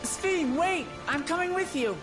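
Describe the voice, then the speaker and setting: A woman's voice: very high-pitched, animated, measured pace, music in the background. A woman playing a bold, protective young heroine in a fantasy video game, emphatic and direct. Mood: urgent. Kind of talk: dramatic video game acting.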